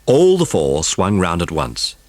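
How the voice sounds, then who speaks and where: A man's voice: deep voice, wildly swooping pitch, a little slow, darkish tone, no echo, full character voice. A man narrating a dramatised children's storybook. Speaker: audiobook narrator.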